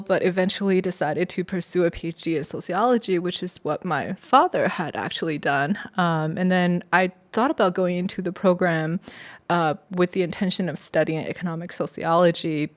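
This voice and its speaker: A middle-aged woman from China who grew up partly in the US, an academic telling her story conversationally as an interview guest. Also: on the low side. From born in China, moved to the US at eight. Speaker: podcast guest, sociology professor.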